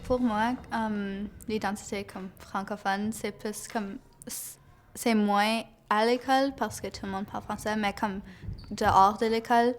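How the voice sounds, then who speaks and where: A woman's voice: mid-range voice, fairly even intonation, unhurried, faint music behind. Young female, medium register, colloquial, unscripted interview delivery. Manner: colloquial, peppered with "comme". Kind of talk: casual interview answer.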